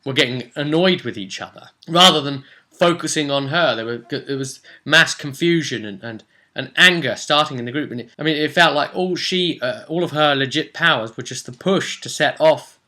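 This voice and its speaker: A man's voice: on the high side, normal speed, sharp tone. A British man who works as a game master, casually recapping a tabletop role-play session in a rambling, colloquial storytelling manner.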